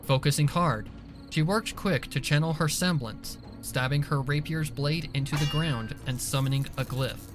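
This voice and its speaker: A man's voice: a little high, normal speed, music in the background. A man reading scripted dramatic story narration as the narrator of an audio drama.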